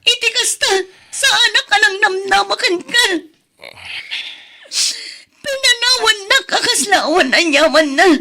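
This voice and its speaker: A woman's voice: very high-pitched, sharp tone, exaggerated voice. A woman playing a devoted, heartbroken young woman with an Ilocano accent in an audio drama.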